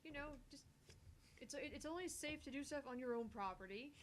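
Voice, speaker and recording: Slightly high-voiced woman chatting casually. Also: lively intonation, moderate pace, a little hiss or hum. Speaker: let's play co-host voicing visual novel dialogue. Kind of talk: casual chat.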